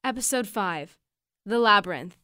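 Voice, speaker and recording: A woman reading the show's credits as announcer, crisp and formal. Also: medium pitch, expressive, moderate pace, very noisy. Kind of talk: scripted credits read.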